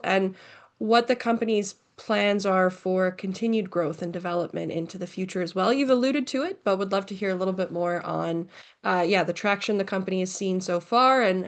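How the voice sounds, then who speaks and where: A woman hosting a business interview, conversational and polite, with wordy lead-ins to her questions. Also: lowish voice. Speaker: interviewer, host.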